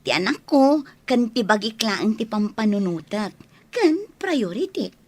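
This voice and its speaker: A woman's voice: fairly high pitch, very animated, unhurried. An actress with an Ilocano accent playing a sincere, devoted young single mother in a radio drama. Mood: earnest.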